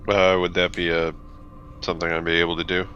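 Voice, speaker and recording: A man's voice: deep voice, monotone, a little fast, sharp tone, a little hiss or hum. A man playing a tabletop roleplaying game, putting in casual, tentative remarks about his character. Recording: slightly dull, band-limited microphone.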